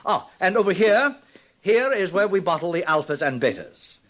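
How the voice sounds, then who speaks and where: A man playing a brisk, self-satisfied hatchery director, lecturing pompously in a 1950s radio drama. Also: high voice, very animated, a bit bright. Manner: pompous, lecturing, hesitating with small uhs. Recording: noisy vintage radio recording with clicks.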